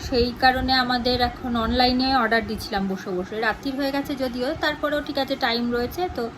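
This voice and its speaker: A woman's voice: high voice, fairly even intonation, fast talker. A middle-aged woman with a West Bengal accent chatting casually for a home vlog, rambling and rephrasing as she goes. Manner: rambling, repeats and rephrases herself. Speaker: family vlogger. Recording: clearly noisy home recording.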